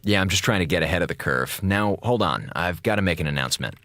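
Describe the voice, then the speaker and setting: An actor playing a wisecracking, insubordinate American communications officer on a space station in a radio drama, with a glib, theatrical manner. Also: male voice, low voice, lively intonation, fast, fairly bright tone, dry recording, clean studio sound. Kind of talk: radio drama log narration and comic dialogue.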